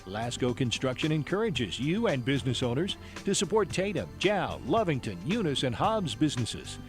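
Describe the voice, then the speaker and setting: A man's voice: mid-range voice, very animated, normal speed. A man reading a scripted local sponsor spot as a commercial announcer. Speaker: radio ad announcer. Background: faint guitar music.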